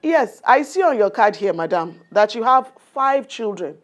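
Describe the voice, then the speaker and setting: A woman's voice: a little high, lively intonation, normal speed, natural voice. A woman with a Nigerian accent acting as a condescending, bossy family planning nurse in a radio drama, talking down with exclamations and little tags. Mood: patronizing. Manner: patronizing, talks down with exclamations and tags. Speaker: nurse in an educational radio play.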